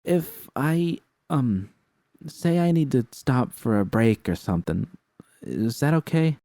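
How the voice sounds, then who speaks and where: A man voicing a nervous, timid young woman in a story reading, speaking hesitantly with halting fillers. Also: mid-range voice, lively intonation, dark tone, no room sound, studio quality, pitched above the performer's own voice.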